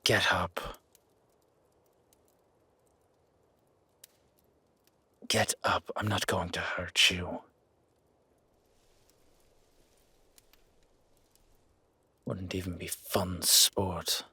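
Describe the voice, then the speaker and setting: Male actor as gruff werewolf, very husky growl, low pitch, slow and terse, wary yet kind, audio roleplay. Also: little pitch movement, bright, forceful tone, no room sound, heavily acted. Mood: gruff, grudging. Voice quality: raspy, gravelly whisper-edged growl. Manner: slow, brooding, terse commands. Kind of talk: roleplay audio drama acting.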